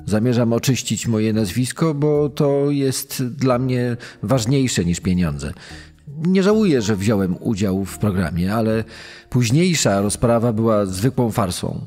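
An actor playing a proud, indignant army major, emphatic and aggrieved, in a true-crime dramatization. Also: male voice, on the low side, lively intonation, a little slow, warm tone, big-room sound, soft background music.

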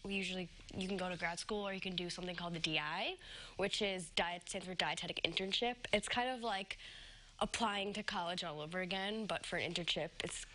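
A woman's voice: on the low side, brisk, bright, forceful tone, slight background noise. A young woman in her twenties, a student chef chatting hesitantly with many ums on a TV studio kitchen set. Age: 20s. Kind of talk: casual on-air cooking chat.

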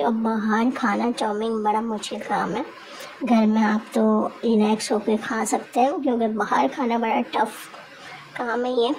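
A woman's voice: a little high, warm tone, slight background noise, low-quality recording. A young woman narrating her vlog in a chatty, giggly way, telling viewers about her evening out. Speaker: vlogger. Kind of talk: casual voice-over vlog narration.

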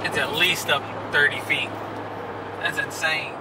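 Folksy Texas man over loud road noise. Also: a little high, fairly even intonation, a little slow. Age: middle-aged. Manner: folksy, plain-spoken. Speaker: farm vlogger. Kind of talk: casual chat while driving. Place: inside a moving pickup truck cab. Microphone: camera held from passenger seat. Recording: distant mic, loud road and vehicle noise.

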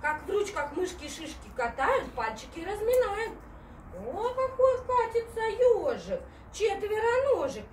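A woman in her thirties leading a playful toddler class, talking to small children in a drawn-out sing-song way with little rhymes. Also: very high-pitched, very animated, a little hiss or hum. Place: indoor children's playroom. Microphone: distant camera. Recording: echoey room, distant microphone, music behind.

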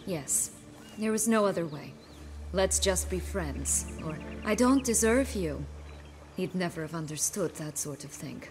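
A proud, cynical noblewoman with a dark past, from a fantasy game. Her voice sits fairly low, measured and sardonic, with music clearly behind it. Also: animated. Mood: wistful confession. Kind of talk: fantasy video game acting.

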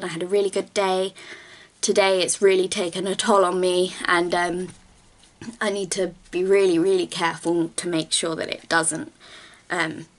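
A young woman films a relaxed vlog from a small bedroom, close to the camera. Her voice is a bit croaky this morning, and she rambles on with plenty of ums and corrects herself mid-sentence. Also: fairly low pitch. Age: young adult. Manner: chatty, rambling, lots of ums and self-corrections. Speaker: vlogger. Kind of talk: casual talking-head vlog while doing makeup. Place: small bedroom with bookshelves, indoors. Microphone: camera at close range.

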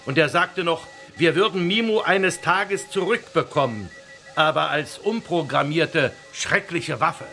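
A loyal military colonel in a sci-fi radio play. His voice is high and bright, his manner formal and respectful. Faint music plays behind. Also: unhurried. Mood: formal, earnest. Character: dutiful, crisp military colonel reporting to his general. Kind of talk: German children's audio drama.

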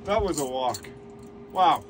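A man's voice: a little high, takes it slowly, slight background noise. A man in his thirties chatting casually to a phone camera held at arm's length, rambling with plenty of fillers, in his truck and out on a snowy trail. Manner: relaxed, rambling with many fillers. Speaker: vlogger. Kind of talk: casual vlog chat.

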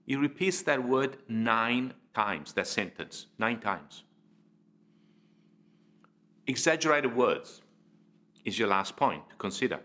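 Guy in his 50s teaching to camera, super lively pitch, deliberate pauses and punchy emphasis. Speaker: public speaking trainer. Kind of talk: instructional presentation to camera. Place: indoor studio.

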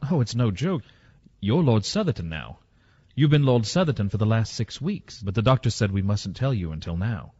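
An actor playing a polite, deferential English family solicitor, speaking formally in an audio drama. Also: male voice, lowish voice, sing-song, moderate pace, mellow, breathy edge. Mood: respectful, informative. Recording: muffled, reverberant recording.

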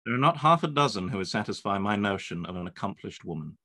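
A young man playing a proud, reserved gentleman speaking formally in a period drama read over video call. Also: fairly low pitch, animated, quick pace. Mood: exacting. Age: young adult. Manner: formal, terse. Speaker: actor in live read.

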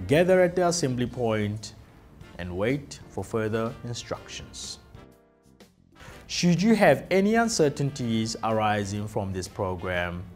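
A man presenting a scripted workplace safety induction, reading rules slowly and deliberately with emphatic listing. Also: mid-range voice, very animated. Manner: slow, deliberate, emphatic list delivery. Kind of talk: scripted corporate safety narration. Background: soft background music.

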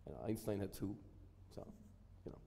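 A man's voice: fairly low pitch, lively intonation, moderate pace, vocal fry, dark tone. A man answering casually on an academic panel in a blunt, candid, self-deprecating way. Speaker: panelist, physicist. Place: large hall with seated audience. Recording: echoey hall, faint room noise.

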